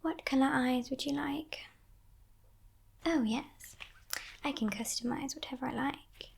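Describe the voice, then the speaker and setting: A woman voicing a playful, menacing female demon in a breathy, teasing whisper for an ASMR roleplay. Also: high voice, full character voice. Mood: breezy, condescending. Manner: teasing, condescending, softly sinister, unhurried.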